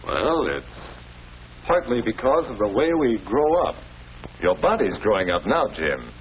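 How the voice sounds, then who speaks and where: A man's voice: fairly low pitch, sing-song, measured pace. An actor with an American accent playing a patient, fatherly schoolmaster who explains things in a 1940s educational film. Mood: patient, instructive. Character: patient, avuncular male school principal or teacher. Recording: noisy old 1940s film soundtrack.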